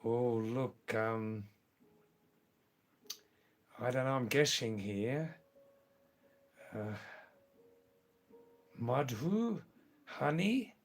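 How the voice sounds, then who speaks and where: A man's voice: mid-range voice, expressive, drawn-out words, pauses often. An older man with an Anglo-Indian accent chatting casually on a live stream, answering viewers' comments with lots of fillers and restarts. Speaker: livestream host.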